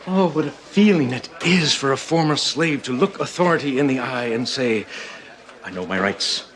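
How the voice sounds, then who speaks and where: Actor as earnest, animated alien immigrant detective. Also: male voice, medium pitch, moderate pace, crowd noise, natural voice. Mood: proud. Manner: formal, precise diction. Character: earnest, indignant alien immigrant police detective, proud family man. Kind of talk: television drama acting.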